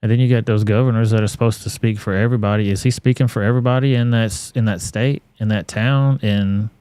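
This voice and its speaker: A low-voiced man hosts an online talk show and gives blunt, offhand commentary laced with swearing. His delivery is flat and mellow, and the voice sounds smooth and dry on a close studio mic. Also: no echo. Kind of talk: commentary on clips.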